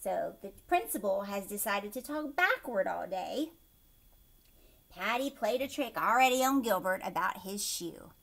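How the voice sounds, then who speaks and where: A woman teacher reading a picture book aloud to children, expressively, pausing to explain and ask them questions. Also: mid-range voice, vocal fry. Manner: expressive, asks young listeners questions.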